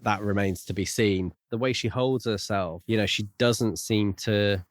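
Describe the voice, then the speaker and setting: Male podcast co-host, fairly low voice, very dry recording, thinks aloud in a relaxed book conversation. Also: unhurried, no room sound. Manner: speculative, musing. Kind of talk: casual book discussion.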